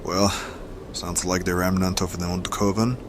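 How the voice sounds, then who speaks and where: An actor playing a steady, curious leader of hirelings in an audio drama. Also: male voice, low voice, moderate pace, a little hiss or hum, natural voice.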